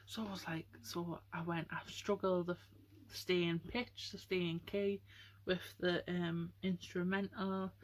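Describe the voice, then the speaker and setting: Chatty young woman, breathy nasal voice. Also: little pitch movement, unhurried, low-quality recording. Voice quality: nasal, breathy, high-pitched voice. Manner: chatty, rambling, with many fillers. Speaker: vlogger. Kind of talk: casual chat to camera. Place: at home, getting ready for bed.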